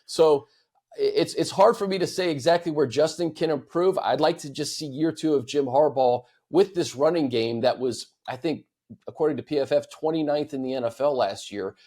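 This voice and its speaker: An American man hosting a sports podcast, discussing the game casually and giving his opinions in a measured way. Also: fairly high pitch, warm tone.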